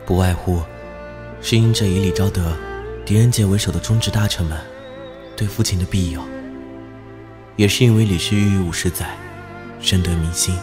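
男声饰演的低沉稳重的年轻兄长. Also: male voice, sits low, fairly even intonation, moderate pace, long pauses, music in the background, natural voice. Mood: grave. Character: sober, cautious young princely elder brother. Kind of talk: Chinese historical audio drama acting.

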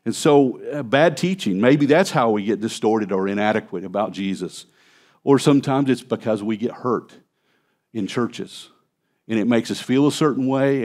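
A man in his fifties, a pastor preaching an expository sermon in a conversational way from a church stage, on a headset mic. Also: mid-range voice. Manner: conversational preaching, animated gestures at a lectern. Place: church stage, large indoor hall.

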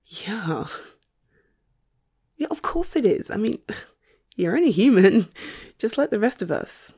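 A woman playing a sleepy, tender, playful girlfriend in an ASMR roleplay, speaking softly and coaxingly with a breathy, often whispered delivery. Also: mid-range voice, very animated, heavily acted. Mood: soothing, intimate. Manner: soft, coaxing, affectionate, unhurried.

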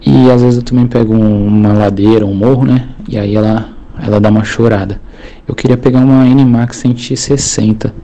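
A man's voice: mid-range voice, steady tone, moderate pace, warm tone, dull audio. A man in his twenties from São Paulo with a Paulista accent, calling in politely with a hesitant, filler-heavy manner. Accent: Paulista Brazilian Portuguese. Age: 20s. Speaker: caller.

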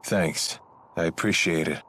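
Low-voiced roguish mercenary. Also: male voice, steady tone, a little slow, fairly bright tone, slight background noise. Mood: earnest, resolute. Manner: casual, wry. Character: roguish, cocky mercenary man with easy swagger. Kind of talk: English video game dub acting.

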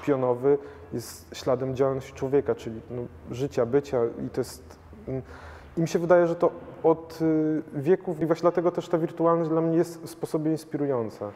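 Halting, thoughtful man in a reverberant hall. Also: fairly high pitch, fairly even intonation, mellow. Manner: thoughtful, halting, many fillers and restarts. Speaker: painter. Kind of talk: gallery interview. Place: large gallery hall with paintings. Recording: long hall reverb, faint noise.

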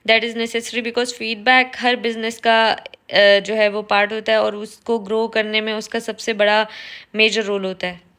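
Bright-voiced woman chatting to camera. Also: medium pitch. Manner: chatty, explanatory, peppered with English words. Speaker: vlogger and restaurant owner. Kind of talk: casual talking-head vlog. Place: small indoor room at home. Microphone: phone camera at close range.

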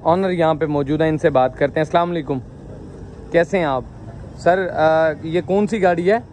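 A man in his thirties walking around a used car market outdoors, showing cars and chatting with owners in brisk, repetitive patter. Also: a little high, darkish tone, a little hiss or hum. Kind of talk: walkaround car showcase with owner chats.

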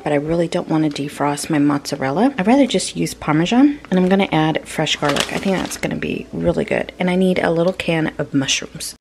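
A woman's voice: fairly low pitch, a little hiss or hum. A woman narrating a casual cooking vlog in a chatty, informal, playful way. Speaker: home cook vlogger. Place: home kitchen, indoors.

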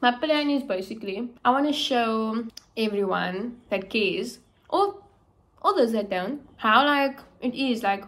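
A young woman, an actress talking casually to the camera at home in an upbeat, filler-heavy way. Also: on the high side. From South Africa. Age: young adult. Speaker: actress vlogger. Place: small room indoors at home.

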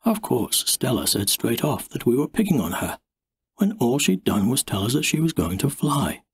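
A man reads a story aloud with plenty of drama. His voice is very husky and breathy, and his pitch rises and dips in a lively way. He takes his time over each phrase, recorded close and dry. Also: normal speed, no room sound. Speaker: audiobook narrator. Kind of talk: expressive storybook narration.

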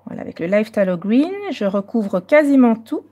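A Belgian woman hosting a live coloring stream, chatting casually and moving between French and slightly halting English. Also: on the high side, animated, warm tone.

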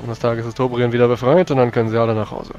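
A man's voice: mid-range voice, flat delivery, quick pace, a little mellow, highs cut off, lightly acted. A man playing a dry, world-weary warrior of a religious order in a tabletop roleplay, speaking bluntly. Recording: music behind.

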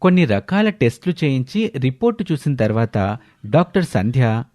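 A man's voice: mid-range voice, very animated, normal speed, a little mellow, no room sound, studio quality. A man narrating a scripted story in the manner of a storyteller.

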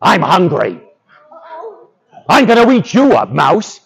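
A man's voice: high-pitched, wildly swooping pitch, slow, gravelly, husky, voice pushed up, heavily acted. A man voicing a grumbling, boastful male lion, a bullying king of beasts, for a children's story. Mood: menacing, boastful.

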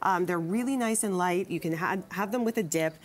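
A woman's voice: fairly low pitch, fairly quick. A woman, explaining food casually and chattily as a guest nutrition consultant. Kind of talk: casual live cooking demonstration. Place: large TV studio, indoors.

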